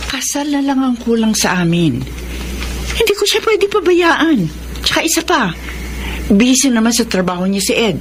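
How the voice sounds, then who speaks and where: An actress playing a gentle, dutiful mature widow in a Tagalog radio melodrama, earnest and emotive. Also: female voice, on the high side, big pitch swings, moderate pace, a little hiss or hum. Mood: anxious, defensive.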